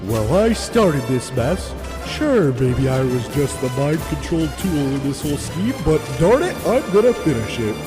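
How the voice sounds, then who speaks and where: Man playing an earnest, animated everyday dad. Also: fairly high pitch, unhurried, hardly any pauses, over music. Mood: determined. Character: earnest, determined ordinary father of young hero. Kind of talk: audio drama acting.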